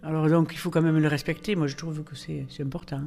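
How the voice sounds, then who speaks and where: A man's voice: on the high side, little pitch movement, fast talker, gravelly, darkish tone. A man speaking emphatically with exclamations in an interview. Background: music.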